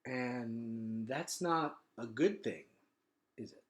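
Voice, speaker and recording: A man's voice: mid-range voice, very slow, roomy sound. A man voicing a blunt, wary boy in a children's novel, with a questioning way of talking. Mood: uneasy. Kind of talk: children's novel read-aloud.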